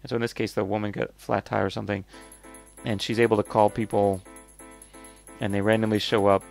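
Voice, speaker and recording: A man's voice: on the low side, flat delivery, fairly quick, vocal fry, darkish tone, soft background music. A man giving casual, off-the-cuff commentary as a review host, hesitant and thinking aloud as he hedges his points. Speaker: host reviewing crowdfunding projects.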